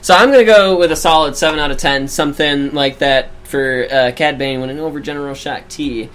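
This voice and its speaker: High-voiced man with bright, tense tone. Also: a little fast, smooth. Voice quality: high-pitched for his age. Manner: animated, laughs often, echoes his co-host. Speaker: podcast co-host. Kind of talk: casual fan discussion.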